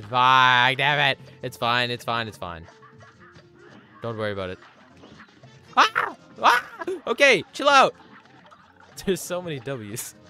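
A young man streaming a game live, joking with chat and reacting excitably, with yelps and laughs. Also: medium pitch. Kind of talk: live gameplay commentary.

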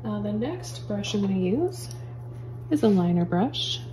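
A woman calmly talking viewers through painting step by step, as a painting teacher in her studio. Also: medium pitch, a little slow, noisy background. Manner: calm, gentle step-by-step instructions. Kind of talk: real-time painting tutorial. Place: indoor studio at an easel.

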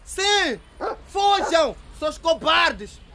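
A man voicing a gleeful male scammer who shouts taunts at fleeing intruders. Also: extremely high pitch, big pitch swings, extremely slow, vocal fry, background noise, pitched above the performer's own voice, full character voice. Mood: taunting. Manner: taunting, yelling.

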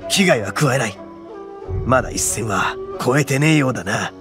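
A man voicing a brash, boastful male oni brawler who talks in rough, swaggering speech, over game music. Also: mid-range voice, lively intonation, normal speed, close to an everyday voice. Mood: gruff, determined. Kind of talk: Japanese game voice acting.

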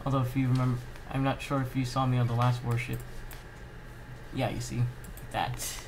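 A man's voice: medium pitch, level tone, normal speed. Man gaming, noticeably noisy recording. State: slightly under the weather. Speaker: gaming channel host. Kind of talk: casual gameplay commentary.

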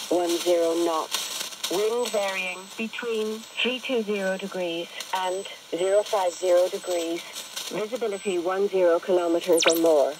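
A woman reading formulaic aviation weather reports in clipped, segmented, impersonal phrases over shortwave radio. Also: mid-range voice. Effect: shortwave single-sideband radio. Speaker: aviation weather broadcast announcer. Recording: noisy shortwave radio reception. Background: radio static and noise.

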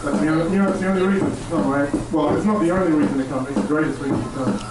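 A man's voice: on the high side, very fast, a loud crowd. A man in his thirties from Australia, a musician chatting casually in a live stage interview on a handheld mic. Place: rooftop bar stage at a festival at night. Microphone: shared handheld microphone. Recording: echoey, distant live PA, loud noise.

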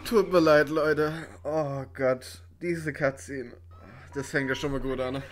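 A man's voice: a little high, steady tone, takes it slowly. A man giving casual, sarcastic, joking commentary over a video game. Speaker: gaming video host.

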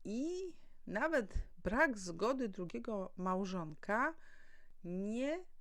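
A woman presenting a formal, prepared legal explainer as an educator, setting out points one by one in a didactic way. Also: fairly low pitch.

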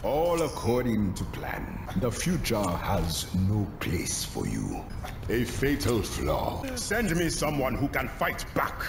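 An arrogant warlord, boldly modulated. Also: male voice, medium pitch, wildly swooping pitch, slow pace, vocal fry, reverberant, background noise, heavily acted. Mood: scornful. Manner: commanding, scornful. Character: arrogant, menacing male warlord. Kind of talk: video game hero voice lines.

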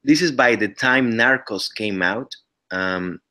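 A man's voice: fairly low pitch, slow pace, sharp tone. A man in his thirties from Mexico with a Mexican Spanish accent, speaking English as a second language. He talks earnestly on a video call from home, often restarting his sentences. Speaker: actor guest. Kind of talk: casual interview chat over video call. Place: living room at home. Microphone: webcam on a video call.

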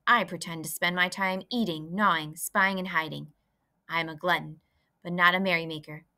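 A sly, greedy rat in a storybook, sour and sneering, with loud, scornful emphasis. A woman voices him with a bright edge. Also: lowish voice, steady tone, normal speed.

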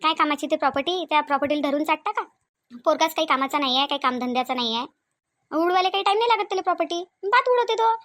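A woman's voice: high-pitched, racing, fairly bright tone, no echo, clean studio sound. A woman voicing a domineering, miserly rural mother-in-law in a cartoon comedy, nagging and sarcastic in a Varhadi Marathi accent. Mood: disapproving. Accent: Varhadi Marathi from Vidarbha. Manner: nagging, sarcastic, full of rhetorical questions.

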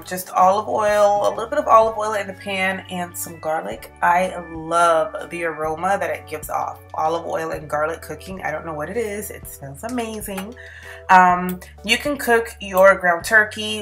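A woman's voice: medium pitch, a bit bright. A woman in her thirties hosting a casual cook-along vlog, talking informally and giving instructions in her home kitchen. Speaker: host of a home cooking vlog. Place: home kitchen and living room, indoors.

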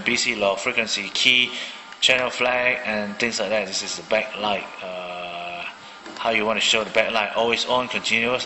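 A man's voice: lowish voice, pushed and bright, in a large hall, low-quality recording. A man from Malaysia casually demonstrating a radio at his desk, thinking aloud with many fillers. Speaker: hobbyist radio reviewer. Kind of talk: casual hands-on product demo. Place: indoor room at a desk.

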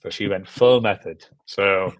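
Low-pitched, enthusiastic young South Welsh man. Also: unhurried, no echo. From South Wales. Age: 20s or 30s. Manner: enthusiastic, repeats words and phrases for emphasis. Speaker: film director, interview guest. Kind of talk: casual chat. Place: small room at home, video call. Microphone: video call, earbuds.

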